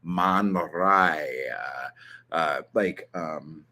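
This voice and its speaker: A man's voice: low voice, drawn-out words, bright, forceful tone. A young man from Southern California with that accent, chatting casually in a vlog, rambling with plenty of ums and likes and the odd swear. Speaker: channel host answering viewer questions.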